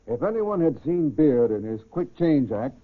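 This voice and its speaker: A man hosting a 1940s radio crime series in formal, measured, scripted speech. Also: mid-range voice, big pitch swings. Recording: tinny band-limited 1940s radio recording.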